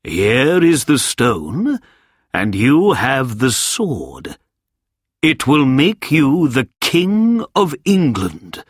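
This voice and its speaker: A man's voice: medium pitch, wildly swooping pitch, breathy edge, dry recording, clean studio sound, full character voice. Sir Ector: a man doing a kindly, feeble old knight. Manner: gentle, slow, earnest.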